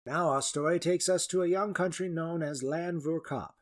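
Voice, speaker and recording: A man's voice: fairly high pitch, moderate pace. A man narrating a scripted fantasy story as its storyteller.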